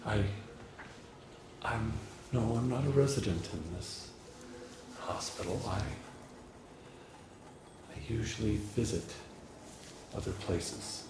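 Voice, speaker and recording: A man playing a detached, sinister alien psychiatrist in an ASMR roleplay, speaking formally and haltingly with musing repetitions. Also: fairly low pitch, background noise. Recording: echoey voice under loud music. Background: loud music.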